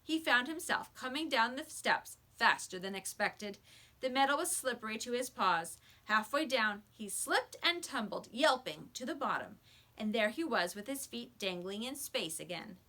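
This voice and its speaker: A woman reading a children's book aloud with expressive storybook narration, like a teacher to a class. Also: a little high, normal speed.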